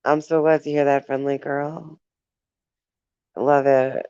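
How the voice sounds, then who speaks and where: A woman's voice: low-pitched, level tone, measured pace, mellow, clean tone, no room sound, dull audio. Woman, live stream host, casual chat, giggly, fond of viewers, dry close sound. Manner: giggly, laughs often between remarks; affectionate toward viewers.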